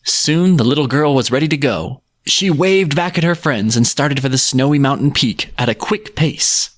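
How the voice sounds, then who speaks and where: Expressive man narrating, dry close sound. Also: medium pitch, moderate pace, no echo. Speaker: podcast host and storyteller. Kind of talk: scripted folk tale narration.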